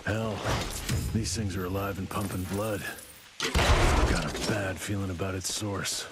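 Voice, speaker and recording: An actor playing a gruff, blunt American vampire hunter in a video game, muttering and swearing in a folksy way. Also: male voice, low-pitched, fairly even intonation, measured pace. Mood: disgusted, grim. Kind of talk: video game cutscene acting. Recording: loud music over the voice.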